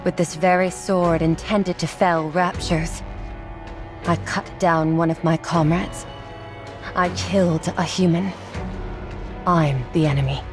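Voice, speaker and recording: An actress playing a resolute, remorseful swordswoman in a dramatic video game, speaking in a measured, theatrical way. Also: lowish voice, steady tone. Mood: grave resolve. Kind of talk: dramatic anime game voice acting. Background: music behind the voice.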